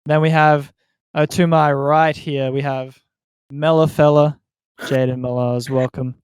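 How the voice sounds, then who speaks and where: A man hosts a casual podcast in a slightly high, very clear voice. The sound is close and dry, and his delivery is conversational. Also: flat delivery, unhurried, darkish tone, no echo. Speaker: podcast host.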